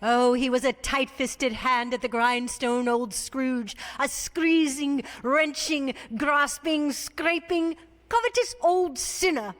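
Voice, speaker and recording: A woman's voice: high voice, fairly even intonation, takes it slowly, a bit bright. A woman co-narrating a tale theatrically, emphatic and relishing her words, in an echoey hall. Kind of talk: theatrical scripted storytelling.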